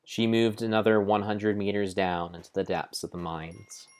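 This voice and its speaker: A man giving an improvised dramatic narration of a game like an adventure storybook, with deliberate, drawn-out phrasing. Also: fairly low pitch, level tone, a little mellow, clean tone.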